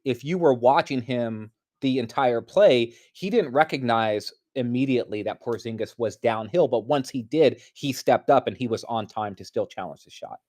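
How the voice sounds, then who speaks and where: Male basketball commentator, medium pitch, analytical and measured, conversational delivery. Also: a little mellow.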